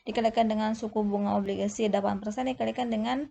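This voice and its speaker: Creaky-voiced woman explaining step by step. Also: mid-range voice, fairly even intonation, dark tone, slight background noise. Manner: halting, often searches for words and self-corrects. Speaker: accounting tutor. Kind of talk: explanatory lesson walking through tables.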